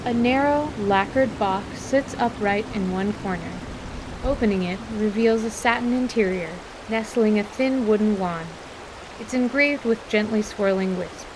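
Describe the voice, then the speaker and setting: A woman's voice: mid-range voice, unhurried, background noise. Composed woman narrating fantasy over rain. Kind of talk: scripted fantasy storytelling narration. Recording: steady rain ambience behind voice. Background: rain ambience.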